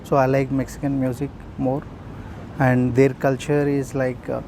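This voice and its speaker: A man's voice: mid-range voice, little pitch movement, normal speed, warm tone, a little hiss or hum. A young man from India with an Indian accent, answering street interview questions hesitantly, with many fillers. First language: Urdu.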